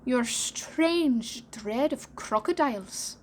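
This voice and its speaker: A simple, good-natured pirate bosun, as a woman reading a storybook puts him on, chirpy and eager to please. His voice rides high above the reader's own and comes out loud and bright. He speaks slowly, with a cheerful lilt. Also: lively intonation, slight background noise.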